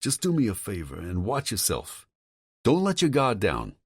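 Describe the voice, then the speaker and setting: A man's voice: on the low side, huge pitch swings, normal speed, husky, no echo, pitched above the performer's own voice, exaggerated voice. A man voicing an agitated brother who warns urgently over the phone. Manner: urgent, swearing, insistent.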